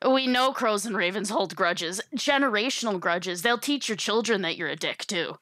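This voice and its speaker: A woman's voice: mid-range voice, moderate pace, fairly bright tone, no echo. Woman in casual, close dry chat. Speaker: podcast guest. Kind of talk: casual tabletop gaming chat.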